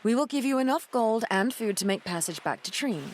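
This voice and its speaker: A woman's voice: on the high side, lively intonation, brisk, a little hiss or hum, close to an everyday voice. A woman playing a stern, commanding pirate captain with a formal, firm manner, in an audio adventure drama.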